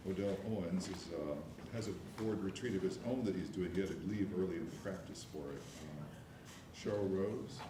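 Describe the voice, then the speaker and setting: A man chairing a board meeting, measured and hesitant, with many fillers, recorded from afar in an echoey room with noise. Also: low-pitched. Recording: echoey room, mic from far off, background noise.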